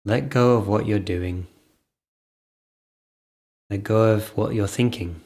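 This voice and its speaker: A man with a fairly low voice leads an improvised guided meditation. His delivery is very slow, broken into short phrases. He leaves gentle pauses between them.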